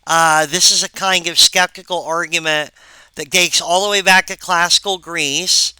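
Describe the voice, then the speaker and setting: A woman giving a recorded philosophy lecture, halting and full of wry asides to the viewer. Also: lowish voice, steady tone, pushed and bright, clean tone, no room sound, rough recording.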